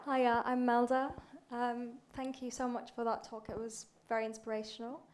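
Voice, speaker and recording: A woman's voice: fairly high pitch, level tone, normal speed, slight background noise. A young woman from Turkey, a researcher in the audience, asking a chatty question with frequent "um"s.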